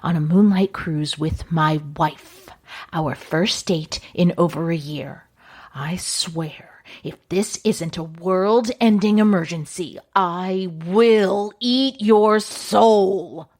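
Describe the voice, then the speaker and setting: A woman's voice: on the low side, slow, darkish tone. A woman playing a stern, exasperated intelligence section chief in a comic audio drama, with a dry, menacing delivery. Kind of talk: audio drama comedy acting.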